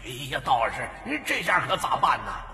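A man voicing a fretful, excitable monk in a fantasy audiobook, exclaiming in agitation, with echoing reverb. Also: on the high side, moderate pace, vocal fry, voice pushed up, exaggerated voice. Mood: alarmed, fretting. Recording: echoing hall reverb, music behind.

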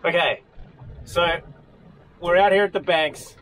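A man chatting casually and calling out excitedly while fishing on an open boat at sea. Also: fairly high pitch, takes it slowly. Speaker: fishing vlogger. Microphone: action camera mounted on boat. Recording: noisy boat engine, wind and water.

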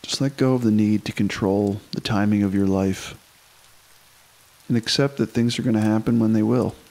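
Low-pitched man guiding a meditation. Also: steady tone, darkish tone. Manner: slow, unhurried instruction with long pauses. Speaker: meditation guide. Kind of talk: guided meditation.

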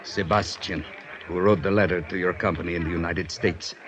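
A man's voice: sits low, fairly even intonation, lightly acted. An actor playing a secretive, calculating foreign informant in a 1950s radio drama, formal and cautious in his phrasing. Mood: guarded, businesslike. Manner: formal, cautious, measured, avoids contractions. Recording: faintly noisy 1950s radio recording.

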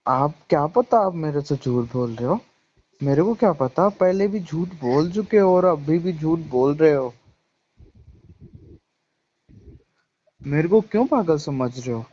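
A man's voice: a little high, animated, moderate pace, a little mellow. A young man playing a jealous, accusing ex-boyfriend in a staged phone call, insistent and repeating himself. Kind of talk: staged phone-call conversation in casual Hindi. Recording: dull lo-fi phone-call sound.

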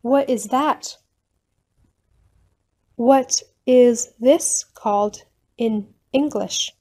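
A woman reading short English phrases for learners, each one slowly and then again at normal speed. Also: a little high, warm tone.

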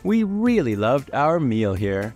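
This is a man reading a scripted travel voice-over, his pitch swinging up and down as he lists each dish. His voice sits at an everyday middle height, and soft music plays underneath. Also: sing-song, slow pace. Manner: reads dish lists in a sing-song rising cadence. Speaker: travel vlog co-host.

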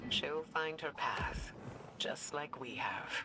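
A composed veteran warrior, offers reassurance as a mentor in a video game. Her delivery is calm and measured, with noticeable game ambience around it. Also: low-pitched, background noise. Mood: reassuring.

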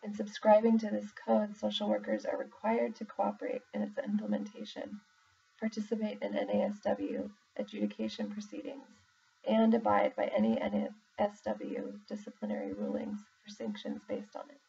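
A woman reading a formal document aloud in a measured, deliberate way, pausing between phrases. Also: medium pitch, completely flat delivery, gravelly, dark tone. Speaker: reader, social worker in exam preparation. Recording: muffled, distant mic with faint background noise.

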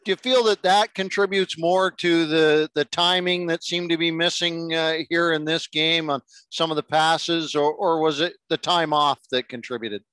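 Male reporter, fairly high voice, dry sound, short direct questions. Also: fairly even intonation, moderate pace, hardly any pauses, fairly bright tone, clean tone, dry recording. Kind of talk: press-conference question.

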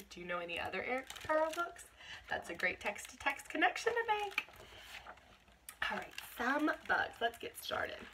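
A woman's voice: medium pitch, bright, forceful tone, a little hiss or hum. A woman, reading a picture book aloud to young children and speaking expressively to them like a teacher. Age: 20s. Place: small bedroom at home.

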